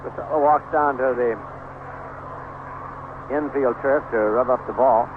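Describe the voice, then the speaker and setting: An American man calling a baseball game live on the radio, in measured, clipped play calls with pauses. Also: medium pitch, phone-quality sound. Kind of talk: live radio sports commentary. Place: stadium broadcast booth. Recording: noisy band-limited 1970s AM radio broadcast.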